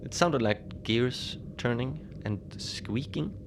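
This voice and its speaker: A man playing a lonely, unbothered polar station keeper, telling strange tales in a halting, understated, conversational way for a fiction podcast. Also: medium pitch. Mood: puzzled, matter-of-fact. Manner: halting, conversational, understated storytelling with small hesitations. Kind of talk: cozy horror audio fiction monologue. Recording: steady noise behind the voice.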